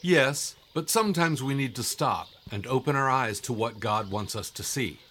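An actor playing a calm, mysterious, faithful guide in an audio drama, with a measured, gently probing delivery. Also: male voice, medium pitch, a little hiss or hum, lightly acted. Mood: encouraging, knowing.

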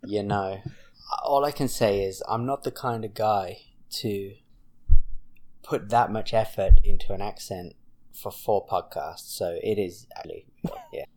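A man's voice: lowish voice, darkish tone. British man, accent worn by US years. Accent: British English softened by years in the US. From Britain. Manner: self-deprecating, halting with fillers. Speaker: podcast co-host. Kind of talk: casual chat.

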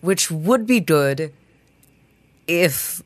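Low voiced woman talking games, slow, kinda hesitant n dry, casual. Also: expressive, no room sound. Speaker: co-host explaining game perks. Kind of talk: casual gaming chat.

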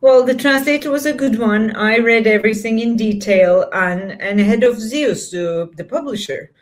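Woman author. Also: fairly high pitch. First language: Turkish. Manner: thoughtful, with frequent pauses and fillers. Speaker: author, guest. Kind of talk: casual interview talk.

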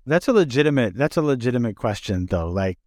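A man's voice: medium pitch, very animated, fast talker, a little mellow, dry recording, clean studio sound. A man hosting a casual interview, asking informal questions that he rephrases as he goes. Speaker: interviewer, host.

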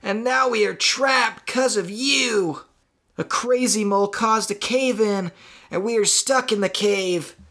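Man playing an exasperated high-pitched boy hero. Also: measured pace. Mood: angry, exasperated. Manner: yelling, exasperated. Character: exasperated boy hero, hot-headed and demanding answers.

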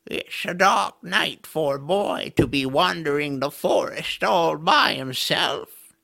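A performer voicing an eerie, slyly menacing raven in an audio drama, with a croaky, gravelly voice and drawn-out, ominous delivery. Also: medium pitch, bright, forceful tone, heavily acted. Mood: ominous taunting. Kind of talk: audio drama character acting.

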